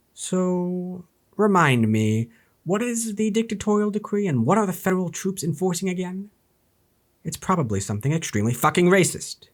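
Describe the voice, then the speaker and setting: A man's voice: medium pitch, a little mellow. A man from New Jersey reading an old political speech aloud and breaking into sarcastic, profane commentary. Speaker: YouTube history commentator.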